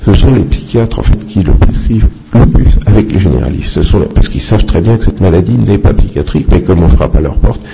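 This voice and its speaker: A man speaking off the cuff in a halting, opinionated way as a doctor answering questions at a public talk. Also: deep voice, animated, vocal fry. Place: public meeting with microphone. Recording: muffled, noisy public-event recording.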